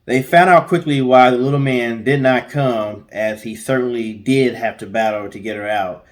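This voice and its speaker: Male co-host, average pitch, telling a story in a chatty, colloquial, joking way. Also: moderate pace. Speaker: co-host of a story guessing show. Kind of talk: casual storytelling with chatty asides.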